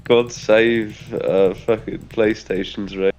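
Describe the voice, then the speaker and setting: A man's voice: fairly low pitch, level tone, takes it slowly, a little mellow, a little hiss or hum. A young man whose first language is Dutch, chatting loosely on a voice call and swearing casually. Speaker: caller joining a stream.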